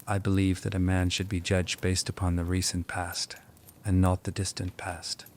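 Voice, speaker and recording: Guy doing a low voiced calm wizard, fair and reasonable, audio drama, bit of background noise. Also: natural voice. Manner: measured, reasonable. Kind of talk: fan audio drama acting.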